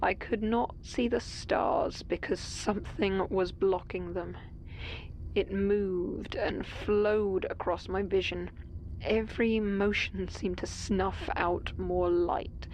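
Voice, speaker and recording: A woman playing an astronaut who recounts awe and terror at a vast dark entity in a grave horror audio drama monologue. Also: mid-range voice, steady tone, takes it slowly, a little hiss or hum, natural voice, rough recording. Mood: awed dread.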